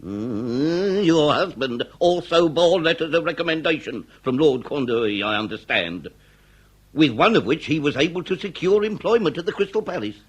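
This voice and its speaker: Comic period radio drama: blustering, florid Victorian inspector, officious, rough-edged voice, very animated intonation. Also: male voice, a little high, moderate pace, low-quality recording. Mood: officious questioning. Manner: florid formal speech, officious, easily exasperated. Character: pompous, blustering male Victorian police inspector.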